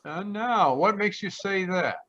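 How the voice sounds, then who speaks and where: Cocky radio private eye, played by a man: highish, forceful, wisecracking, slightly muffled call sound. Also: lively intonation, measured pace, exaggerated voice. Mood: probing. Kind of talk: old-time radio noir narration and dialogue. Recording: dull online video-call audio.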